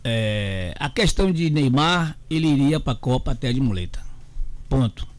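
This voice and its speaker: A man on a radio sports panel gives firm opinions, halting and repeating his words often. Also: medium pitch, animated.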